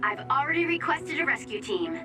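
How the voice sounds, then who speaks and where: Alert, distant-sounding young support fighter. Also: female voice, sits high, big pitch swings, fairly quick. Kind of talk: anime-style video game voice acting. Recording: distant from mic, music behind.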